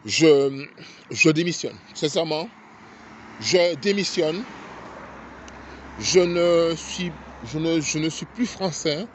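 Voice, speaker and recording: Guy with an accent ranting hard, high-pitched, keeps stuttering, faint traffic noise behind. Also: animated, slow, pauses often. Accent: non-native French accent. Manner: indignant, heated, stammering repetitions. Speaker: vlogger. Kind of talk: angry political rant to camera.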